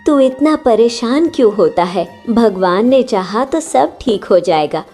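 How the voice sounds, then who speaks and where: A woman's voice: a little high, expressive, unhurried, dark tone, soft background music. A woman voicing a kindly, devout old mother who consoles in a children's cartoon story. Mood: consoling.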